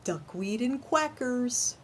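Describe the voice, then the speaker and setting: A woman's voice: a little high, lively intonation, slow, a little hiss or hum, pitched above the performer's own voice. A woman voicing a curious, eager young boy from a children's picture book. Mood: playful. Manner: eager, inquisitive.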